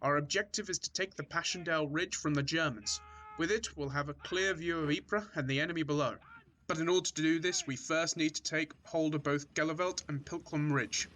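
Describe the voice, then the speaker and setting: A man's voice: fairly high pitch, fairly quick, a bit bright, a little hiss or hum, natural voice. A man acting a stern, short-tempered First World War officer in a radio drama, barking orders and scolding. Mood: angry, scolding. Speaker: actor in a student radio drama.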